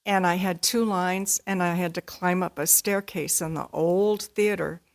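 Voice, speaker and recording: A woman's voice: fairly low pitch, steady tone, a little slow, creaky. An older woman giving a casual interview as a guest, playful and self-deprecating in how she talks. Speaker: amateur community theater actor, interview guest.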